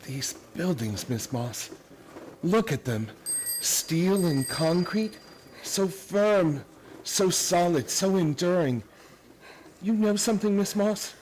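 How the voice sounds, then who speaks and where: A man's voice: sits high, animated, slow, darkish tone, slight background noise, low-quality recording. A man acting in a radio play as an obsessive, grandiose believer in his own mental powers, lecturing intensely and emphatically. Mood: dreamy, musing.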